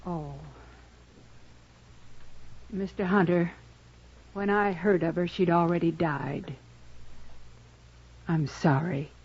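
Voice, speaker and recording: An American woman playing a dignified, guarded frontier mother in a 1950s radio western, speaking in a measured, courteous way. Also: on the low side, fairly even intonation, husky, heavily acted. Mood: quiet sympathy. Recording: dull, muffled vintage radio recording.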